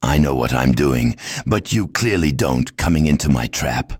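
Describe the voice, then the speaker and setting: A man's voice: rumbling low, expressive, normal speed, husky, no room sound, studio quality, heavily acted. A man voicing a gloating, taunting swordsman villain in a video game. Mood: gloating menace. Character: gloating, menacing male sword-wielding villain.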